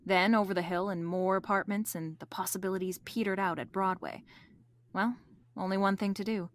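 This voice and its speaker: An actress plays a female private detective from a noir radio drama. She is brisk, bossy and quick with a wisecrack. Her voice sits at a middle pitch in a very dry recording. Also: fairly even intonation, dry recording, lightly acted. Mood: wry, narrating.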